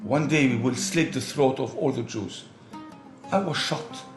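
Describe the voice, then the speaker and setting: An older man from Egypt speaks reflectively in a middle-pitched voice. His words ring in an echoey room over soft guitar music. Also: unhurried. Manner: reflective, emphatic. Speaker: interviewee. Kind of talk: documentary interview testimony.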